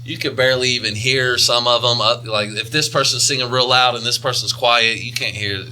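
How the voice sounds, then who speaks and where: A man's voice: mid-range voice, steady tone, fairly quick, bright, forceful tone, slight background noise, rough recording. A man who performs as a rapper, chatting casually as a podcast guest, laid-back with lots of filler words.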